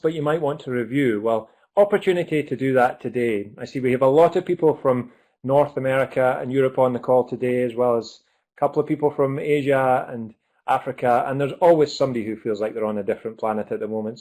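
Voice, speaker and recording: A Scottish man hosts a live online webinar, talking through a computer mic on a video call. His voice sits in an ordinary middle pitch. He is chatty and upbeat, often filling pauses with ums and uhs. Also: darkish tone. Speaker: webinar host.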